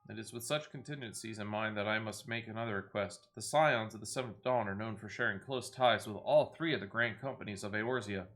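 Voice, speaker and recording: A man voicing a courteous leader of a fantasy order in a video game, speaking with measured, archaic formality. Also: lowish voice, fairly bright tone, close to an everyday voice.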